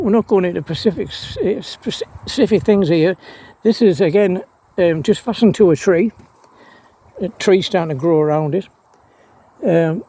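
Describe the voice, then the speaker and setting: A man with a fairly high-pitched voice talks casually as a hobbyist presenter. He explains things off the cuff in a halting, rambling way, circling back and repeating phrases as he goes. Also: a little mellow, low-quality recording. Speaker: amateur radio hobbyist presenter. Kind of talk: casual explanatory vlog, unscripted. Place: outdoors by trees and a fence.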